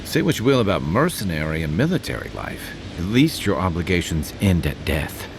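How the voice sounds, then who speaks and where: Deep-toned grumbling adventurer man. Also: big pitch swings, brisk. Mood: grumbling, deadpan. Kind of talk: video game voice acting. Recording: loud background noise.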